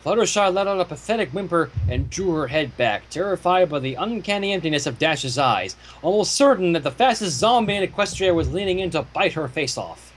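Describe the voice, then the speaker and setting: Animated higher-pitched man reading a story aloud. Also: fairly quick, hardly any pauses, low-quality recording. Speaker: fan fiction reader. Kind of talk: expressive story narration with casual asides.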